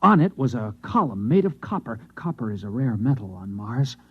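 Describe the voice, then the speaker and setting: An actor playing a dismal, shaken Martian man, halting and earnest, in an old-time radio drama. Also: mid-range voice, sing-song, slight background noise. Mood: haunted, distressed. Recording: dull old radio recording.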